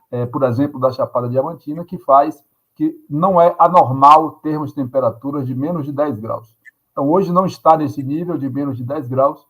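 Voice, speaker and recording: A man's voice: medium pitch, breathy edge, poor audio. A man, a guest professor speaking earnestly in a podcast interview, with frequent hesitant fillers. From Salvador, Bahia, Brazil.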